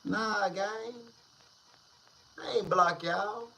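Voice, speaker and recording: A man hosting a casual street-news commentary channel, talking in slang with drawn-out, emphatic delivery. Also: high voice, creaky, sharp tone, poor audio.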